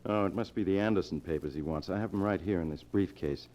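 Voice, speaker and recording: An actor playing a sly, calculating swindler who poses as a brother-in-law in an old radio drama. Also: male voice, low-pitched, fast, darkish tone, close to an everyday voice. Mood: uneasy. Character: scheming male swindler posing as brother-in-law, calculating, smooth-talking. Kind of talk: golden age radio drama acting. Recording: muffled old radio show recording.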